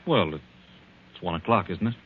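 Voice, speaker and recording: A cold, evasive husband in a 1940s radio melodrama. His low voice moves widely in pitch and turns impatient. It sounds narrow and tinny, like an aged broadcast. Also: animated, a little slow, slight background noise. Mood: evasive, weary. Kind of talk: 1940s radio drama acting.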